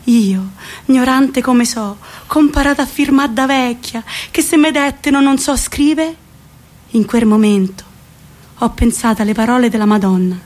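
A woman's voice: on the high side, a little slow, a little mellow, lightly acted. Woman acting folksy Roman-accented grandmother. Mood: confiding. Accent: central Italian, Roman area. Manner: folksy, dialect storytelling. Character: warm old rural Italian grandmother recalling her youth. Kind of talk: theatrical monologue.

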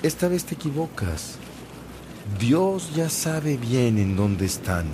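Un actor de radionovela que interpreta a un sacerdote misionero católico, bondadoso y firme, de hablar solemne y sincero. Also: male voice, mid-range voice, sing-song, slow pace, noisy background. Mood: warm, grateful. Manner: earnest, solemn, comforting. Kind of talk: radio drama acting.

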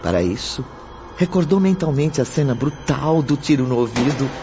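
A man narrating a radio drama in a measured, solemn tone at a mid pitch. Loud music plays under his voice. The recording sounds dull. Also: lively intonation.

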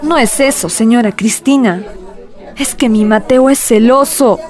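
A woman playing an anxious, hurried village wife in a radio soap, with a Peruvian Andean accent. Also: on the high side, a little mellow, natural voice. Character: anxious wife in a hurry, afraid of husband. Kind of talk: radio soap opera acting.

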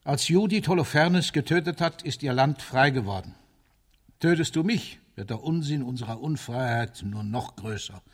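A man acting a cynical, battle-sick ruler in a German-style stage radio play. He speaks deliberately and rhetorically, with bitter weight at a middle pitch, his voice somewhat husky. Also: lightly acted. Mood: brooding, resigned. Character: world-weary, cynical male military ruler, self-confessed butcher. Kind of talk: theatrical radio drama monologue.

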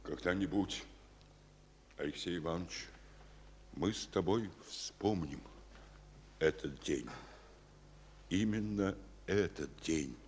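Deep-voiced Soviet general, slow and swinging. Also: male voice, a little mellow, big-room sound, a little hiss or hum. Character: Soviet general, gruff but fatherly, moved by his soldier. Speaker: actor in a radio play. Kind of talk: 1940s Soviet war radio drama.

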